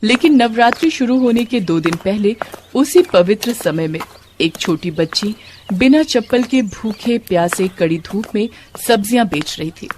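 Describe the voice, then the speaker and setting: A woman's voice: medium pitch, darkish tone, a little hiss or hum. A woman narrating a scripted devotional story as a storyteller.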